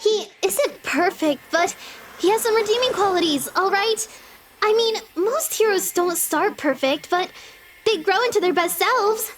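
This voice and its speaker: A woman's voice: very high-pitched, lively intonation, a little slow, noisy background. A woman voicing a doting, theatrical storybook narrator in an anime parody, syrupy and easily flustered. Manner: syrupy, theatrical praise that falters. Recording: music behind the voice.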